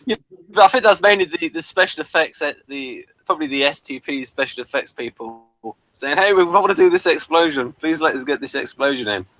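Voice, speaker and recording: A man's voice: fairly high pitch, lively intonation, a little fast, fairly bright tone, no echo, faint music behind, rough recording. A man hosting a casual discussion, earnestly putting questions to the others with some hesitant starts. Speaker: host and discussion leader.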